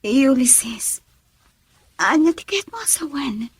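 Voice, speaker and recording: A woman's voice: sits high, sing-song, takes it slowly, no room sound, heavily acted. An actress playing a shy young woman being courted, bashful and hesitant, with an Ilocano Filipino accent in a radio drama.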